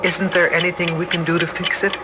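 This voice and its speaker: A woman playing an anxious, soft-spoken mother. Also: lowish voice, little pitch movement, a little fast, natural voice. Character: frightened mother in a bunker. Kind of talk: 1960s radio drama acting. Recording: dull old radio recording with noise.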